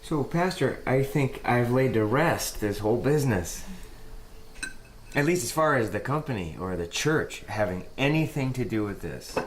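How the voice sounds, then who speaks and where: An actor playing a self-satisfied, eager-to-please boss with a Midwestern American accent and a glib, folksy manner in an audio drama. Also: male voice, mid-range voice, animated, normal speed, a little hiss or hum, lightly acted.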